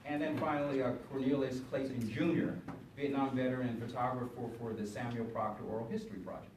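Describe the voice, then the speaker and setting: A man's voice: medium pitch, steady tone, fast. Older man moderating in an echoey room. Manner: hesitant, filler-heavy, with restarts. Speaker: moderator, university teacher. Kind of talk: panel moderation. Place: panel room with audience. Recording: echoey room, far from mic, noisy.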